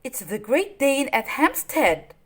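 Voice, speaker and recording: A determined father Dalmatian from a children's tale, read by a woman. He speaks earnestly in a lively, fairly high voice. The delivery is storybook reading. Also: slow, breathy edge, heavily acted. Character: resolute male Dalmatian father dog.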